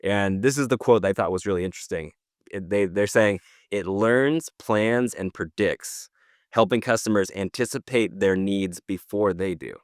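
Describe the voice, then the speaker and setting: Male podcast co-host, low voice, close dry sound, keen and explanatory, conversational delivery. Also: normal speed, no echo. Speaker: podcast co-host presenting news. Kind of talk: casual news discussion.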